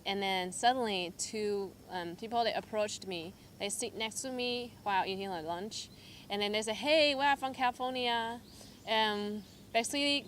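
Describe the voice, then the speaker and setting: Young woman from taiwan, english speaker, personal testimony, lots of ums and likes, slight background noise. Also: mid-range voice. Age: young adult. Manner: hesitant, filler-heavy storytelling with lively quoted asides. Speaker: church member sharing testimony.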